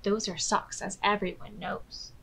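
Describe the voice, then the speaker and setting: An American woman in her thirties hosting storytime, reading aloud expressively and clearly to young children. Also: mid-range voice, little pitch movement, a little slow, bright, forceful tone. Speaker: children's storytime host at a public library. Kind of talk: read-aloud storytelling for preschoolers. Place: small furnished room indoors.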